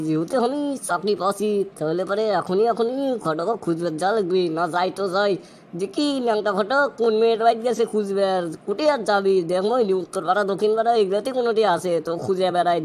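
A man's voice: high voice, fast, a little hiss or hum, natural voice. A man with a Bogra accent from northern Bangladesh voicing a brash, impatient young stoner desperate to marry in a comic cartoon, rambling, boasting and complaining. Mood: eager, musing. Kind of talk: comic dialect cartoon voice acting.

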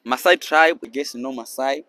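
A young man from Tanzania with a Tanzanian accent, hosting a casual street interview outdoors with a handheld mic and explaining things slowly in broken English. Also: medium pitch, sharp tone. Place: sunny beach boardwalk outdoors.

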